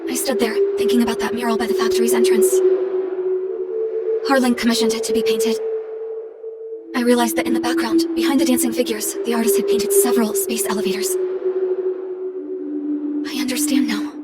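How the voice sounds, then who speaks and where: A pensive young princess acted by a woman speaks in a fairly high, very even voice. She is reflective and restrained, a game narration that sounds distant beneath loud music. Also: a little fast, pauses often, natural voice.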